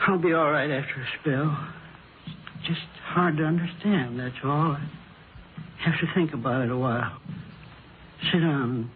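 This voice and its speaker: An actor playing a dazed, grieving elderly widower in a halting, plaintive way, in an old radio drama. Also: male voice, on the high side, close to an everyday voice. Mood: weary, subdued. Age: older. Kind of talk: 1940s-50s radio drama acting. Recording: noisy, phone-like old radio recording.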